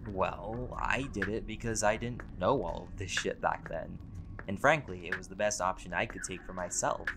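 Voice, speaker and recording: A man's voice: low voice, moderate pace, gravelly, background noise. A man playing a laid-back, vain, centuries-old vampire in a conversational roleplay audio drama, with casual, sweary, bantering delivery. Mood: reflective, candid.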